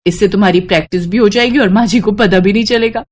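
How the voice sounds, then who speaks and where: A young daughter-in-law from a cartoon family story voiced by a woman. She is kind and patient, with a gentle, reassuring way of talking. The pitch is medium for a woman. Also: quick pace, close to an everyday voice. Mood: friendly, encouraging. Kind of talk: Hindi animated moral-story voice acting.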